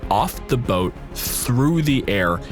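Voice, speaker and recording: A man's voice: mid-range voice, sing-song, slow. A man working as game master, improvising vivid narration of a tabletop game in a casual way. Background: music.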